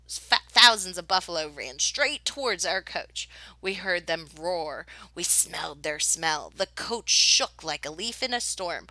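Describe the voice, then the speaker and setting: A woman reads a children's storybook with a bright voice and lively, swooping intonation. She takes her time over the lines and plays up the drama, with a close, dry sound. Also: lowish voice, no echo. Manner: dramatic, with whispered suspense and excited exclamations. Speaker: teacher reading a picture book aloud. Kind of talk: expressive children's storybook read-aloud.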